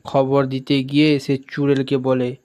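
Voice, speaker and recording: A man's voice: medium pitch, monotone, unhurried, a little mellow, smooth. A man narrating a scripted folk tale.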